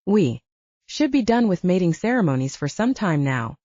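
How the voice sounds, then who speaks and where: A calm, cautious father played by a woman narrator reasons agreeably in an audiobook story. The delivery is measured yet varied in pitch, with a relaxed timbre. The recording is dry and slightly muffled. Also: no echo. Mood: reasoning, hesitant.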